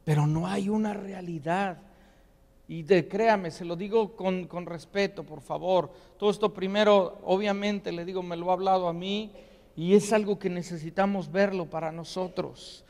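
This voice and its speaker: A man's voice: high voice, fairly even intonation, normal speed, darkish tone, big-room sound. A man preaching a sermon in earnest, often stopping to ask rhetorical questions. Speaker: pastor. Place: church sanctuary.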